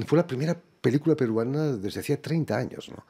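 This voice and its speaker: A man with a Spanish accent, a radio interviewer asking measured questions and ending them with tag questions. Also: mid-range voice, expressive, gravelly, warm tone, slightly rough. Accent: Spain Spanish.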